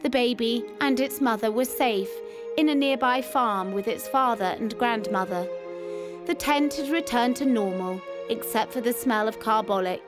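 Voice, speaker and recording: A woman with a fairly high voice reads a scripted memoir as a narrator. Music plays clearly behind her as she tells the first-person wartime account. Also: normal speed.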